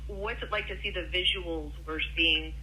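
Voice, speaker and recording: A woman's voice: on the low side, steady tone, normal speed, sharp tone, a little hiss or hum, low-quality recording. A woman hosting a news radio show, interviewing guests with earnest, sympathetic questions.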